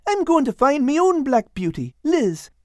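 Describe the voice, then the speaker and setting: A narrator voicing a small, excitable male cat from a children's storybook, nervous and stammering, with folksy turns of phrase. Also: sits high, normal speed, no room sound, clean studio sound, voice pushed up. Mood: chatty. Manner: stammering, exclamatory, folksy dialect wording.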